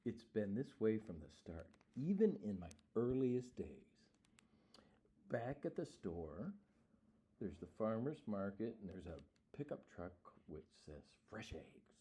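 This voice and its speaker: A man's voice: lowish voice, very animated, measured pace, dark tone, slightly rough. A man reading a children's picture book aloud in an informal, rambling, playful way with goofy ad-libbed asides.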